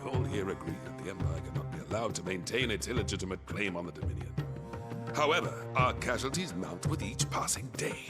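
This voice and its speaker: An actor playing a firm, commanding war leader with formal authority in a video game cutscene. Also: male voice, low-pitched, expressive, a little fast. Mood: stern. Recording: loud dramatic music over the voice.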